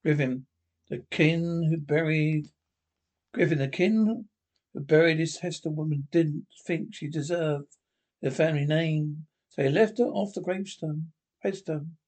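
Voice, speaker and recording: A husky-voiced reader playing a cemetery caretaker, who talks in a halting, hesitant way. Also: mid-range voice, darkish tone, voice pushed down, lightly acted. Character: caretaker of an old cemetery, uneasy.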